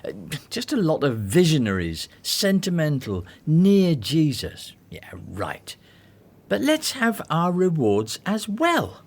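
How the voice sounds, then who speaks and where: A man's voice: on the high side, huge pitch swings, slow, full character voice. A man acting in a radio drama as a cynical, worldly disciple who jokes sardonically and grumbles. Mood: scornful, grumbling.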